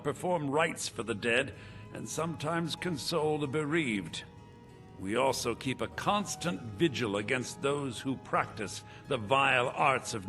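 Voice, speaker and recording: An actor playing a solemn, pious priest in a fantasy video game, formal and preachy. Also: male voice, medium pitch, sharp tone. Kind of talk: video game character dialogue.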